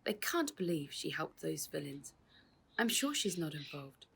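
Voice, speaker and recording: A woman plays a devoted, anguished uncle in a children's storybook. He speaks with feeling and insists on what he believes, in a husky voice raised a little above a narrator's. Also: low-pitched, sing-song, unhurried, heavily acted. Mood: reassuring, indignant. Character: loving, anguished, protective uncle.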